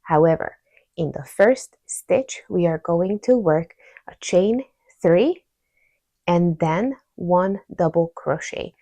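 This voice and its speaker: A woman with a Hungarian accent walks through a crochet pattern step by step. Her voice sits low and mellow with a gravelly edge, and she speaks very slowly. The sound is close and dry, with no room around it. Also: expressive, no room sound. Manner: slow, patient, talks through each stitch aloud. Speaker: crochet tutorial host. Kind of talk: step-by-step craft tutorial.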